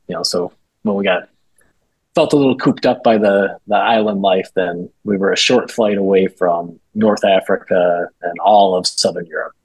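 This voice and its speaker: A man guesting on a podcast, telling travel stories in a relaxed, conversational way. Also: fairly low pitch, breathy edge, no echo, poor audio. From Minnesota, USA. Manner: relaxed storytelling with fillers. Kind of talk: casual conversational interview.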